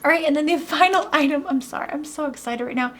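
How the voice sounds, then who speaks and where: A young woman in a beauty unboxing vlog, gushing and excited while she is losing her voice. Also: high voice, fast, slight background noise. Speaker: beauty vlogger.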